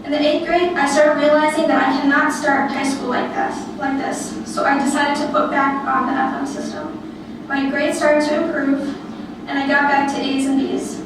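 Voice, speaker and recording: A young woman giving a prepared personal speech, reading carefully and deliberately with occasional stumbles and restarts. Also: high voice, little pitch movement. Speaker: student giving a presentation. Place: echoey room, recorded from a distance. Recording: echoey room, distant mic, noisy background.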